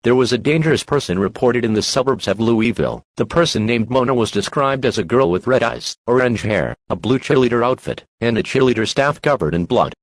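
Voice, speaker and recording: A man's voice: medium pitch, lively intonation, fairly quick, hardly any pauses, no room sound, low-quality recording. A man narrating a scripted emergency warning as an alert announcer.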